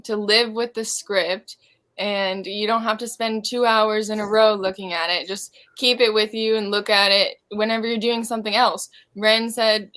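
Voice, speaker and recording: A woman's voice: medium pitch, pushed and bright. A woman speaking informally as an interviewed stage actor, offering advice in a reflective, casual way.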